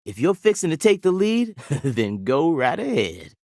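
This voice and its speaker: Slow-drawling Southern small-town sheriff. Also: male voice, fairly high pitch, sing-song, darkish tone, no echo, studio quality. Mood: jovial, yielding. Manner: drawling, chuckling, rambling, folksy. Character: folksy, jovial small-town male sheriff. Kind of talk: video game voice acting.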